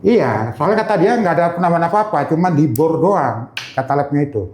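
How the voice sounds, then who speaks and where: A man's voice: a little high, expressive, a little fast, a little mellow. A middle-aged man talking casually as an interview guest, informal and anecdotal, in a roomy space. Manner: informal, anecdotal, loosely phrased. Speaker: veteran gemstone trader, interview guest. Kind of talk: casual chat. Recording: roomy, echoey sound.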